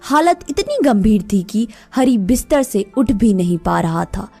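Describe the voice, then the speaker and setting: A woman's voice: mid-range voice, very animated, measured pace, warm tone, breathy edge, faint music behind. A woman narrating a scripted moral story for children.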